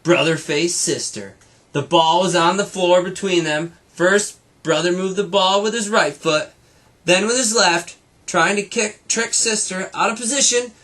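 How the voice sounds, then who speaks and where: A man's voice: sits high, measured pace, fairly bright tone. A man, a teacher, reading a children's storybook aloud to students with casual little asides.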